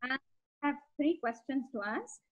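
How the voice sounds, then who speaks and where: A woman's voice: high-pitched, measured pace, slight background noise. A woman from Tanzania, a caller in a live online session, asks her questions politely and a little hesitantly. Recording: faint tinny laptop audio.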